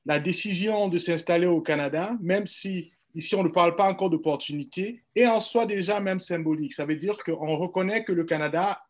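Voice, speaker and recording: A man from Cameroon speaks on a radio panel in a formal, measured way, pausing on fillers. His voice sits a little high for a man. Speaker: panel guest.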